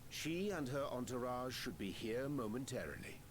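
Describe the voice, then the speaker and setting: Earnest fantasy game scholar-adventurer, a man acting: mid-pitched, archaic and formal, roomy with music underneath. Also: expressive, normal speed, a little hiss or hum, lightly acted.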